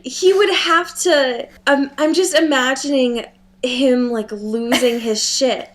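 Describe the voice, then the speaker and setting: A woman's voice: high voice, steady tone, slow pace. A woman co-hosting a podcast, chatting casually and comedically about a book with a giggly, conversational manner. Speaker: podcast co-host.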